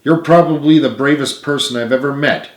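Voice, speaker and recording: A man's voice: mid-range voice, fairly quick, voice pushed up, lightly acted. A man voicing an earnest, remorseful, loyal male friend in a storybook reading, emphatic and pleading. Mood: encouraging. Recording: roomy, echoing room sound.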